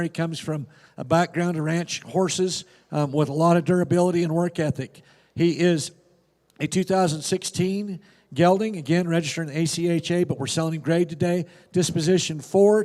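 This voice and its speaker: A man's voice: on the high side, fairly even intonation, normal speed, a little mellow, long reverb tail. A man announcing horse sale lots, describing each horse in a plain, informative way with casual fillers. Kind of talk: reading lot descriptions.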